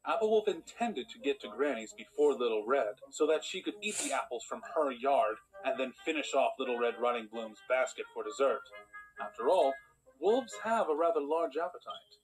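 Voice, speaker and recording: A man plays the narrator of a cartoon fairy tale. His delivery is measured and dry yet very varied in pitch, long-suffering, over background music. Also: expressive, close to an everyday voice, poor audio. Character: patient storybook narrator turning exasperated when interrupted. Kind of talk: scripted storybook narration.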